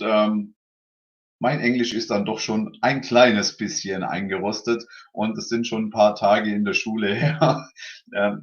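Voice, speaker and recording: A man's voice: on the low side, highs cut off. A man hosting a casual livestream tasting, chatty and full of fillers, greeting viewers by name.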